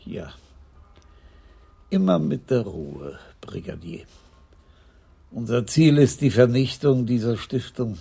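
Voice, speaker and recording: A man's voice: mid-range voice, sing-song, slow, creaky, long pauses, dark tone, breathy edge, exaggerated voice. A male actor in a radio crime drama playing an unflappable, impish police commissaris in his sixties with a dry, teasing manner. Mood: pleased, brisk. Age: 60s.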